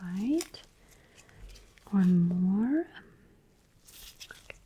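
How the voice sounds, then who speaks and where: A woman performs a soft-spoken ASMR medical roleplay at a very slow, unhurried pace. Her breathy voice often drops into a whisper as she gently reassures the listener. The microphone is very close, with faint noise behind her. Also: medium pitch, animated, muffled recording. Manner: gentle, reassuring, unhurried, softly checking with okay.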